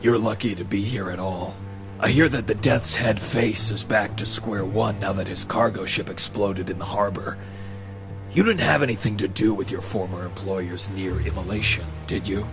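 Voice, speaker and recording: Stern male pulp hero, acted, low-voiced, formal and commanding, unhurried over a music bed. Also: close to an everyday voice. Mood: stern, measured. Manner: formal, commanding, deliberate diction. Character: stern, solemn masked male crime-fighting hero. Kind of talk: pulp radio drama acting.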